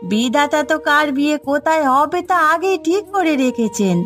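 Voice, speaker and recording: A high-pitched village wife in a cartoon drama, middle-aged and sharp-tongued. She speaks in a plaintive, scolding way over clear background music. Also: lively intonation, moderate pace. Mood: reproachful.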